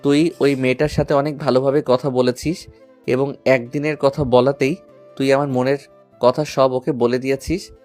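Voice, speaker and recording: A man's voice: mid-range voice, level tone, moderate pace, darkish tone, soft background music, lightly acted. A man playing an eager, grateful, lovestruck schoolboy in an audio love story.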